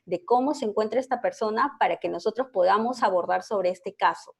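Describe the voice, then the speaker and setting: Measured woman clinical psychologist. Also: mid-range voice, vocal fry, slight background noise. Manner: measured, explanatory, frequent hesitations. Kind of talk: interview guest explaining.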